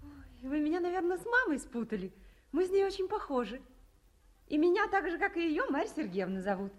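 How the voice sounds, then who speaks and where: A woman's voice: high-pitched, big pitch swings, brisk. An actress playing a timid, eager nineteen-year-old girl in a 1950s radio play. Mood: shy eager. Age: young adult. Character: shy, bright young woman of nineteen.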